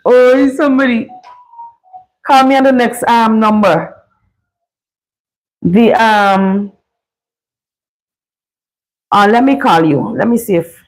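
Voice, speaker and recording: A woman from Saint Vincent with a Vincentian accent, hosting a casual live chat, easygoing and quick to laugh. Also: on the high side, poor audio. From Saint Vincent and the Grenadines. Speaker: live stream host.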